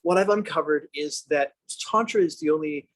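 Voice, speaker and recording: A man's voice: on the high side, moderate pace, fairly bright tone. A man hosting a podcast interview, talking eagerly in a casual way with frequent stammering restarts. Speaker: podcast host.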